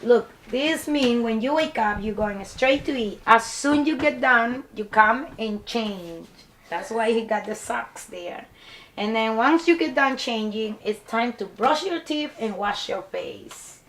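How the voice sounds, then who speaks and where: A woman's voice: a little high, measured pace, fairly bright tone. A woman in her fifties from El Salvador with a Salvadoran accent, Spanish her first language, speaking in a heartfelt, reflective way in a documentary interview, often restarting her phrases. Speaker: barber shop owner.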